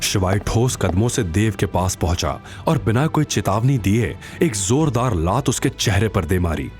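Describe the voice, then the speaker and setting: Animated male dramatic story narrator. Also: lowish voice, moderate pace. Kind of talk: dramatic scripted Hindi audio story narration. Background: faint background music.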